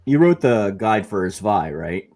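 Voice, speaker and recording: A man hosting a casual livestream interview over a video call, relaxed and chatty with fillers. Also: fairly low pitch, slow pace. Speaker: host of a gaming channel livestream.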